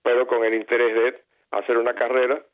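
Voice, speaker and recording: A man's voice: mid-range voice, monotone, brisk. A Cuban man in his eighties, an interview guest telling his own story earnestly and correcting himself mid-sentence. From Cuba. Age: 80s. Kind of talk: personal recollection in an interview. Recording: tinny phone-like line.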